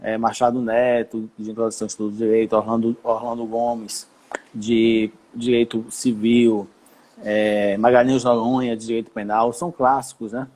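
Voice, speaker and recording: A man's voice: lowish voice, normal speed, low-quality recording. A man speaks on a video call. He tells his story in a loose, rambling way, restarting his sentences often. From Brazil, Salvador. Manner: relaxed, rambling, many restarts. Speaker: federal judge. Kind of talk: live-stream interview, telling his career story.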